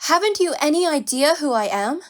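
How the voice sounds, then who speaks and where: A woman's voice: high voice, animated, brisk. A woman voicing a proud, stern cat princess with a formal, commanding manner in a dramatic audio story. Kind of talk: dramatic audio fan-fiction character acting.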